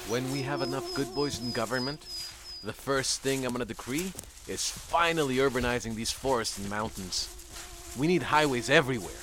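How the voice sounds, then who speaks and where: A man's voice: mid-range voice, normal speed, noisy background, close to an everyday voice. A man playing a pompous, self-righteous, scheming villain in a comic audio drama, declaiming bossily. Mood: pompous decreeing.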